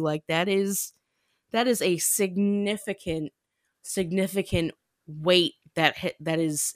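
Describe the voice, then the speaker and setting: A woman's voice: medium pitch, no room sound. Young woman podcast host, chatty and slangy, playful, super dry close sound. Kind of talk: casual explanatory podcast chat.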